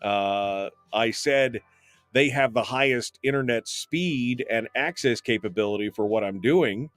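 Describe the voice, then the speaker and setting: Opinionated man hosting talk radio, mid-pitched, rambles with ums, dry studio sound. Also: unhurried, dry recording, faint music behind. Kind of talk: casual radio talk, reading listener comments.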